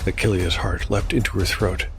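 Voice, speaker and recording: A man narrating a scripted dark fantasy audio drama in a measured, dramatic storytelling manner. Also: low voice, fairly even intonation. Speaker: narrator and host. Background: music.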